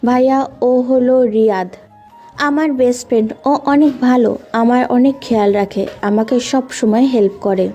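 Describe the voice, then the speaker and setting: A woman's voice: fairly high pitch, fairly even intonation, normal speed, mellow, lightly acted. A woman playing an earnest young woman, a loyal sister, in an audio story drama. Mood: pointed, showing off. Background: background music.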